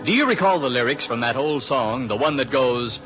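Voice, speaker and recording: A man's voice: medium pitch, animated, fairly quick. A man introducing a 1940s radio show in a theatrical, polished announcer style, on a dull vintage recording with organ music. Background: loud organ music.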